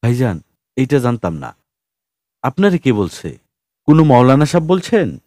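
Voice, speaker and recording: Male narrator as big humble hustler, rustic colloquial speech, lively pitch, mellow tone, naive, pleading, audiobook story. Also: normal speed, no echo, clean studio sound. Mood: respectful, naive. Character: huge former cook turned street hustler, deferential, naively earnest.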